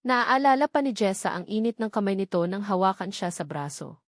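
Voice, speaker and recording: A woman narrates a scripted love drama with measured, dramatic delivery. Her pitch sits in the middle of the usual female range, and the sound is very dry, with no room to it. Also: expressive, no room sound.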